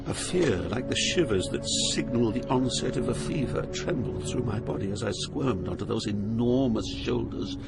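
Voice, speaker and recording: A man's voice: mid-range voice, normal speed, hardly any pauses, over loud music, lightly acted. An actor playing an awed, fearful poet pilgrim, narrating his journey through hell in a solemn, theatrical manner. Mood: solemn dread. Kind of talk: dramatized literary narration.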